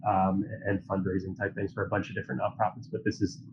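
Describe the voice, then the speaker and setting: A man's voice: deep voice, flat delivery, quick pace, vocal fry. A man talking as a guest in a casual chat, stuffy from a cold, earnest and rambling with many fillers. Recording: dull lo-fi audio with faint hiss.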